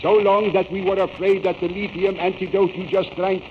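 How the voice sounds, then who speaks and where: A man's voice: high-pitched, flat delivery, moderate pace, lightly acted. An actor playing an older male scientist mentor, formal, fatherly and urgent, in a 1930s radio serial with tinny, noisy sound. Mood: grave.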